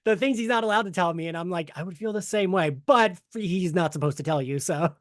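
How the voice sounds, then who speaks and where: A man hosting a podcast interview, chatty and quick to laugh. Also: high-pitched, no room sound, clean studio sound. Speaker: podcast host. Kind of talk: casual interview chat.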